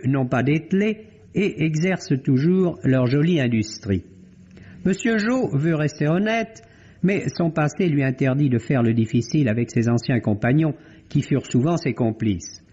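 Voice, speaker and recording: A man's voice: a little high, expressive, moderate pace, dark tone, breathy edge. A man reading a scripted review as a critic on an old French radio broadcast, articulate and emphatic, with phrases that rise and fall. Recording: dull lo-fi vintage radio sound.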